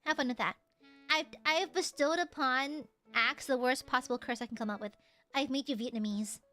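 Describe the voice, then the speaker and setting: A woman streamer chatting casually with her viewers, loud and crude, teasing them and swearing a lot, then suddenly dropping into mock-serious mutters. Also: high voice, moderate pace, soft background music. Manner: animated, jumps between shouting and mock-serious mutters. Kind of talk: casual live-stream chat.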